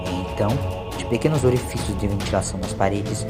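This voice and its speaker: A man's voice: fairly low pitch, quick pace, loud music behind the voice. A man narrating a scripted audio story in a dramatic storytelling style.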